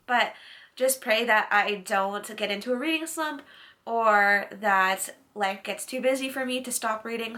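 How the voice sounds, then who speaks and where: A woman's voice: on the high side, sharp tone. A woman in her late twenties, a book vlogger chatting casually to camera, with lots of "like" fillers. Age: late 20s.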